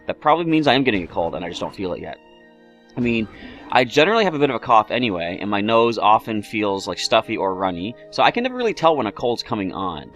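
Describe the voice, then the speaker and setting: A man talks through a game playthrough with a slightly raspy voice and keeps stopping to clear his throat. His pitch rises and falls a lot as he rambles through side remarks. Faint music plays behind him. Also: big pitch swings, fast, a bit bright. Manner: chatty, rambling asides. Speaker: let's play host. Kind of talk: casual game commentary.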